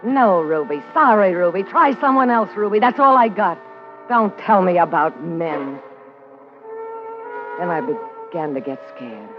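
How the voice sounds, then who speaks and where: An actress playing a brazen, scheming, slangy underworld woman in an old-time radio crime drama. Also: low voice. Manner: brassy, defiant, slangy. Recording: dull lo-fi old radio recording with music.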